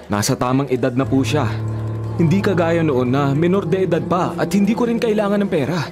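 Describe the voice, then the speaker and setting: A man's voice: fairly high pitch, a little fast, lightly acted. An actor playing a sincere young male suitor, respectful, hesitant and earnest, in a radio drama. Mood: taken aback. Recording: prominent music behind the voice.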